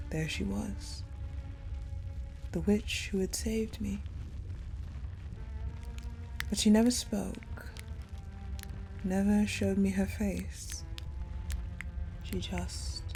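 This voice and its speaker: Woman doing an icy possessive lady general, slow n mellow, hushed dark romance roleplay w music behind. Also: mid-range voice, poor audio. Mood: haunted, wistful. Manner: slow, hushed, deliberate storytelling with pauses. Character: cold, possessive ice general confiding in her captive beloved. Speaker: voice actor in audio roleplay. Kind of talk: intimate dramatic audio roleplay monologue.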